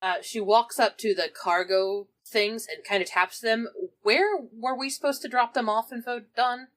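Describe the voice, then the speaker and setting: A woman's voice: a little high, fairly even intonation, a little slow. A woman playing a tabletop game, casually moving between describing and speaking in character.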